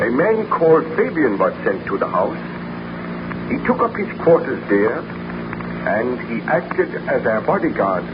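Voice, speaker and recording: Anguished baron, animated melodramatic delivery, formal diction, fearful and earnest, noisy old radio drama. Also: male voice, mid-range voice, unhurried. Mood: grave, tense. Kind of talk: 1930s radio drama acting, narration and dialogue. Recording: dull, noisy 1930s radio recording.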